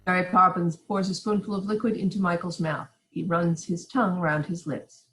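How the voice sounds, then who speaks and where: A woman's voice: fairly low pitch, flat delivery, normal speed, a bit bright, poor audio. A woman giving scripted narration, reading out stage directions for a play. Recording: some background noise.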